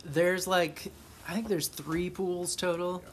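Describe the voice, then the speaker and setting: A man from Chicago as a podcast guest, telling stories casually in a halting, profane way. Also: sits high, steady tone, slight background noise. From Chicago, USA. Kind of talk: casual conversational storytelling.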